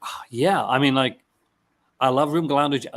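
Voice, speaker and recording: A man in a relaxed conversation, reading out film blurbs. He stumbles over names, restarts his words and laughs easily as he goes. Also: medium pitch, normal speed. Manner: reads product blurbs aloud, stumbling and restarting, laughs often. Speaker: host. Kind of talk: casual chat.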